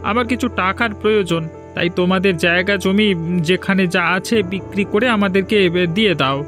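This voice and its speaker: Pushy, high-pitched greedy grown son, a man acting. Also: brisk, lightly acted. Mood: demanding, scornful. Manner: pushy, scornful. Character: greedy, arrogant educated adult son, impatient, scornful. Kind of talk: Bengali cartoon dialogue. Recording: background music under dialogue.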